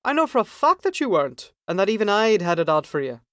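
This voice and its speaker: A man's voice: sits high, very animated, fast, fairly bright tone, no echo, studio quality, voice pushed up. A man voicing a male prison guard in an audiobook, breezy and authoritative, with a jovial, needling manner.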